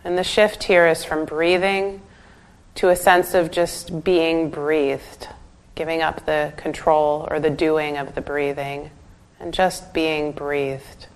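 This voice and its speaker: A woman leading a guided meditation as a teacher, giving calm, gentle instructions with pauses. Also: deep voice, clear, resonant tone.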